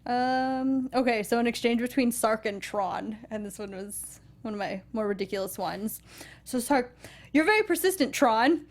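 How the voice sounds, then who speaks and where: A woman's voice: on the high side, lively intonation, normal speed. A woman co-hosting a film review show in casual, conversational chat.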